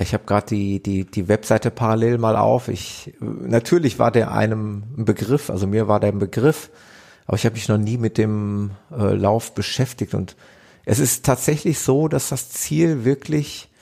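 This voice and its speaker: A man's voice: fairly low pitch, moderate pace, creaky, dark tone. A man hosting a podcast, interviewing guests in an enthusiastic, affirming way. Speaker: podcast host. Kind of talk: casual interview.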